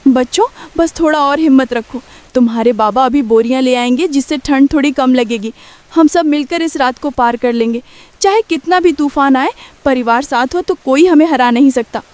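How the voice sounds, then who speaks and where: A woman's voice: high voice, moderate pace, darkish tone, no echo, lightly acted. A woman playing a worried, caring poor village mother in a Hindi cartoon story, earnestly instructing and protecting her family. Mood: reassuring.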